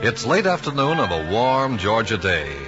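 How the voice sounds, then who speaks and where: A man's voice: medium pitch, very animated, normal speed. A man announcing and narrating a vintage radio drama in a formal storytelling style. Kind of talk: 1940s radio scripted narration. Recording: dull old radio broadcast recording. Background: music.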